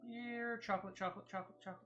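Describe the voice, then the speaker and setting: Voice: medium pitch, steady tone, racing, fairly bright tone, lo-fi sound. A gamer giving casual gameplay commentary in a playful way, often slipping into sing-song babble while talking to themselves. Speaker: gaming streamer. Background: game music.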